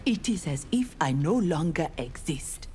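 An actress playing a proud, earnest young armored ninja woman in a video game, speaking in formal, measured, resolute lines. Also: low-pitched, sing-song, dark tone, a little hiss or hum. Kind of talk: dramatic video game dialogue.

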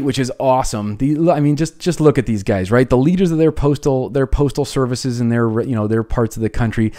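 A man's voice: medium pitch, a little mellow. An American man in his thirties hosting a casual livestream, explaining history off the cuff and circling back to repeat his phrases. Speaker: video host and history commentator. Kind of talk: casual off-the-cuff livestream commentary on an old newsreel. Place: small home room, indoors. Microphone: close desk microphone on a boom arm.

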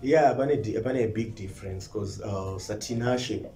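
Young zimbabwean guy, low mellow voice, calm short answers, roomy studio sound. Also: moderate pace. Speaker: musician guest. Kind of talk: studio interview. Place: indoor TV studio. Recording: roomy studio with faint noise.

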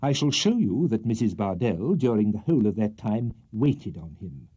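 A man's voice: lowish voice, sing-song, normal speed, dark tone, breathy edge. A man voicing a pompous, bombastic barrister haranguing a jury with theatrical indignation in an audiobook of a Victorian comic novel. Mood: declamatory. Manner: theatrical oratory, indignant and swelling.

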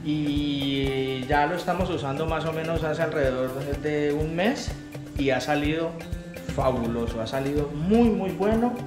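A man's voice: a little high, unhurried. A man in his forties with a Colombian accent, giving a casual product review to camera as a motorcycle vlogger. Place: living room at home, indoors. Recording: roomy echo, music underneath.

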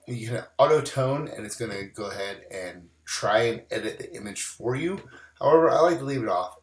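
Man with a recovering voice, echoey room. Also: on the low side. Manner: casual, relaxed walkthrough with many "we're gonna". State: recovering voice after bronchitis. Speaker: photography tutorial host. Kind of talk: screen-recorded editing tutorial. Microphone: voice-over on screen recording.

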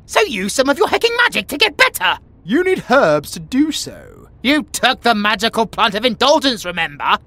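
A man's voice: very high voice, pushed and bright, no echo, full character voice. A British man voicing a haughty, bratty but secretly affectionate young tiger boy in a comedic audio roleplay, with pompous, theatrical outbursts. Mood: impatient, demanding.

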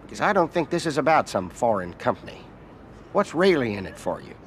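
A man's voice: medium pitch, sing-song, brisk, a little hiss or hum, natural voice. A man with an American accent playing an arrogant, sly middle-aged senator in a video game cutscene, blunt and condescending. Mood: scornful, blunt. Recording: game audio with background ambience.

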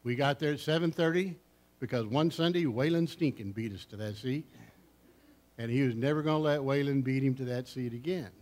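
An older man, a pastor, preaching a story-filled sermon in a church sanctuary, rising loud on key phrases and dropping low, recorded from a camera at the back. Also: fairly quick. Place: church sanctuary with seated congregation. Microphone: far camera at the back of the church.

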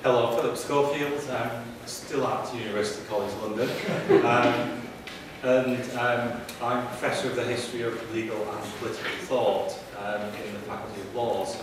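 A man's voice: mid-range voice, steady tone, far from the mic. A man on a conference panel, speaking into a handheld mic with frequent ums and restarts. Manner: halting, many ums and restarts. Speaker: panelist, professor. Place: conference room, seated at panel table. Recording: echoey room heard from afar, noisy.

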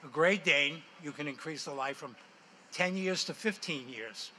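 A man's voice: fairly high pitch, expressive, normal speed, pushed and bright, slight background noise. Older man explaining at a lectern mic. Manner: technical, matter-of-fact explaining. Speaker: entrepreneur pitching to a panel. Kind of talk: answering panel questions, explanatory. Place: large hall with stage, indoors.

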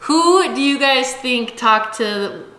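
Young woman vlogger in her late twenties, from Washington state, giggly storyteller, bright tone, roomy kitchen sound. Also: high voice, lively intonation, slow. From Washington state, USA. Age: late 20s. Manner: chatty storyteller, giggly, lots of "like" and "um". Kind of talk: casual chat. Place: bright home kitchen, indoors. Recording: echoey room.

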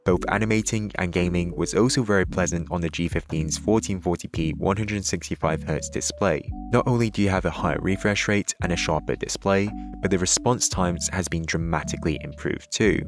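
A man with a notably deep voice talks through a gadget review in a measured, even manner. It's a scripted voice-over, recorded cleanly on a studio microphone. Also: music in the background. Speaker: tech reviewer.

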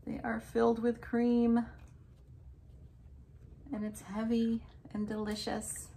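A woman in her thirties chatting softly and casually while eating on a home food vlog. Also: a little high, takes it slowly, vocal fry. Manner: soft, relaxed, savoring food between remarks. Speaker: food vlogger. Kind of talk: casual mukbang chat. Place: small indoor room at a table.

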